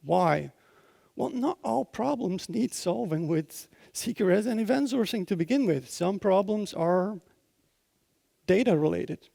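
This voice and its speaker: Creaky, higher-pitched Belgian man presenting. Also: animated, measured pace, warm tone, no echo, clean studio sound. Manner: informal, explanatory, often checks in with listeners. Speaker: conference speaker, software consultant. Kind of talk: technical conference talk.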